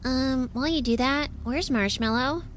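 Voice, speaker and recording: A woman's voice: high voice, moderate pace, slight background noise, lightly acted. An actress playing a chatty, playful woman in a spaceship crew in an audio drama, with a casual delivery. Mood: doting.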